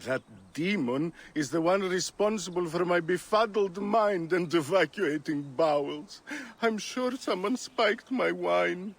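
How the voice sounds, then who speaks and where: An actor playing a pompous, hungover Greek drunkard who groans and complains floridly in a video game. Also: male voice, high voice, a little slow, vocal fry, heavily acted. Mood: aggrieved, groaning. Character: hungover, pompous, theatrical drunkard Greek man, grumbling wit.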